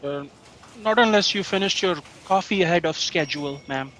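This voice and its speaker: A woman playing a dry, weary, sardonic assistant to a cosmic boss, deadpan but theatrically emphatic, in a comic sci-fi audio drama. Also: lowish voice, moderate pace, slight background noise, lightly acted.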